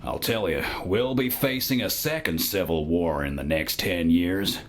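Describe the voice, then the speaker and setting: A man's voice: sits low, lively intonation, measured pace, music in the background. A man playing a hawkish, brooding party guest in a video game. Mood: grim, hawkish.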